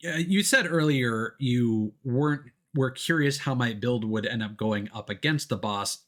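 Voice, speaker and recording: Guy streamer just chatting to his viewers, average pitch, rambly, keeps pausing and saying um. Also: fairly bright tone. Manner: hesitant, rambling, with frequent ums and pauses. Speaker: video game livestreamer. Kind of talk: casual chat to stream viewers.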